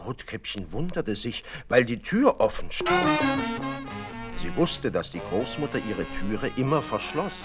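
A man narrating a fairy tale in a children's audio play, expressive storytelling. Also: mid-range voice, a little slow, music in the background.

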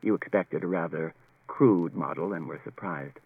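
A man voicing a calm, polite male robot who speaks in a quiet, measured, precise way. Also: low-pitched, creaky, breathy edge, dry recording, voice pushed down, full character voice. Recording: dull lo-fi with music behind.

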